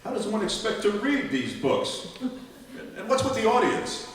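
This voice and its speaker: An actor playing a bewildered, old-fashioned gentleman uncle with formal, theatrical diction in an old-time radio comedy. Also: high voice, big pitch swings, moderate pace, crowd noise. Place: live library show before an audience. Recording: echoey live hall, distant mic, loud music.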